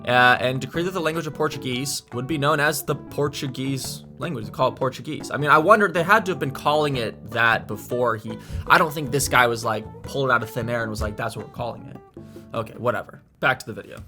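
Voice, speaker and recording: A man chats through a reaction video, thinking aloud and correcting himself mid-sentence. His pitch sits in the middle range, and he is recorded close to the mic. Clear guitar music plays underneath. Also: fast talker, sharp tone. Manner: thinking aloud, restarts and hedges. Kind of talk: casual reaction commentary.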